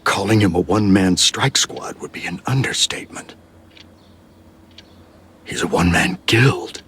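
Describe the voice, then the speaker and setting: An actor playing an authoritative male superior in an anime game, formal, measured and weighty. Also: low-pitched, a bit bright, slight background noise. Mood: awed gravity. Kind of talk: anime game cutscene acting.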